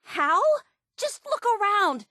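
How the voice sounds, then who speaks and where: An eager young woman, a devoted superfan in a video game. She is high-pitched and excitable, gushing with earnest enthusiasm. The sound is close and dry. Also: takes it slowly, dry recording, full character voice. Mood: excited. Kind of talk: video game character dialogue.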